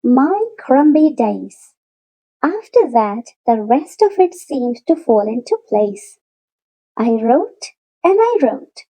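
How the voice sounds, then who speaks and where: A woman narrates a children's storybook in a high, mellow voice. She takes her time, stretching key words for emphasis. Her delivery is expressive and clearly aimed at young listeners. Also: rough recording. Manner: animated, deliberate storytelling with drawn-out emphasis. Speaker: storybook read-aloud narrator. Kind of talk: expressive children's picture book reading.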